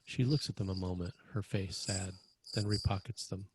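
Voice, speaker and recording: A man's voice: low-pitched, steady tone, normal speed, slightly rough. A man narrating scripted screenplay action as the stage-direction reader at a table read.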